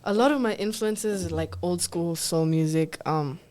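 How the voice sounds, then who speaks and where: A woman's voice: on the low side, normal speed. A young woman from Kenya, a singer, chatting casually as an interview guest and laughing often between her words. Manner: relaxed, laughs often, fills with "like" and "um".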